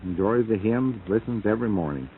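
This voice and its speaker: American radio host, tinny old broadcast. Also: male voice, fairly low pitch, expressive, a little fast, slight background noise. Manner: folksy, joking, rambling. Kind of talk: casual early-morning radio chat. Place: radio studio. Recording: tinny vintage 1950s AM radio aircheck.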